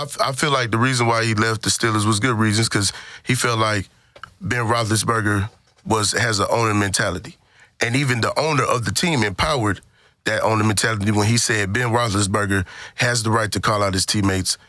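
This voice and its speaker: A man's voice: lowish voice, a little fast, sharp tone, dry recording, studio quality. An American man co-hosting a podcast, debating sports casually in an opinionated, profane way and repeating phrases for emphasis. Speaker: podcast co-host.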